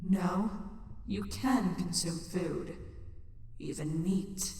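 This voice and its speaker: A woman voicing a calm, detached inner voice of creature instinct, giving instruction in a fantasy audio drama. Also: lowish voice, extremely slow, slight background noise. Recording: distant, heavily reverberant echo effect.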